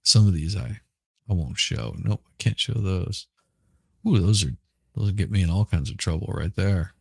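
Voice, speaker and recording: A middle-aged man chatting casually as a podcast host, hoarse and rambling, often repeating words and restarting. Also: low voice, moderate pace, darkish tone, no room sound, studio quality. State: hoarse from smoke. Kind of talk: casual livestream chat.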